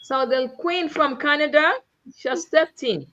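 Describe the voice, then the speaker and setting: A woman from Uganda hosting a live online talk show, with an exuberant, drawn-out emphatic delivery. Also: sits high, pushed and bright. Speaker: host. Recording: dull lo-fi online stream audio.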